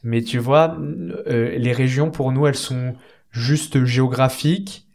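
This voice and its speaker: A man from the French Alps talks as a guest in a casual podcast chat. His voice is mid-pitched and ordinary, and he speaks haltingly, full of pauses and fillers. He asks curious questions and thinks aloud. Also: fairly even intonation, a little mellow. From French Alps, France. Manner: hesitant, many fillers and restarts, curious. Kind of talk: casual conversation.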